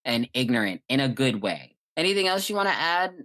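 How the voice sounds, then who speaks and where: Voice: medium pitch, big pitch swings, fairly quick, a bit bright. A young adult podcast host chatting casually and telling personal stories in an informal, rambling way. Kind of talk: casual chat, personal storytelling.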